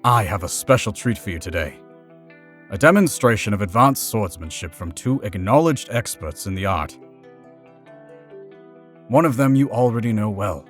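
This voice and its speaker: A man's voice: fairly low pitch, expressive, moderate pace, long pauses, a bit bright, soft background music. A man playing a formal fencing master making commanding announcements in a comic dub. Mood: stately. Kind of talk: comic dub character acting.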